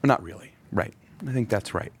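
A male rabbi speaks as a podcast guest in a low, husky voice. He talks earnestly and reflectively, working through his ideas with plain candor and occasional wry asides. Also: lively intonation, normal speed. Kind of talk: thoughtful interview conversation.

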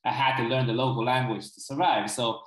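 A man's voice: medium pitch, fairly even intonation, fairly quick. Man explaining at length in a roomy echo. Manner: explains at length, checking in with listeners. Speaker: guest. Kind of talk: casual conversational interview.

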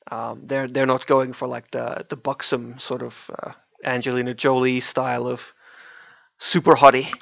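A man with a fry-edged voice talks casually as a podcast co-host. He is hesitant and often repeats words mid-thought. The recording is very dry and close, slightly dull and lo-fi. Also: mid-range voice, little pitch movement, normal speed, a bit bright, no room sound. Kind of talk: casual podcast discussion of games and films.

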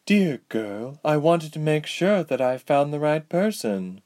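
A man putting on a cold and bitter villainous sorceress for a spoken storybook, her voice raised somewhat above his own. She speaks coolly and slowly, with lively swings in pitch. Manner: cool and indifferent, turning bitter.

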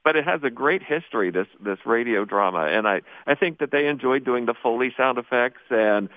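A man's voice: medium pitch, expressive, fairly quick, no echo. Man on a dry, phone-like line. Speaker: high school theater teacher, guest. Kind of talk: casual radio interview chat.

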